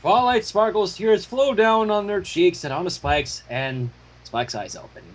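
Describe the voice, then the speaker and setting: High-pitched, husky man narrating dramatically. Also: a little slow. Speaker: fan fiction reader. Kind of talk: dramatic scripted story narration.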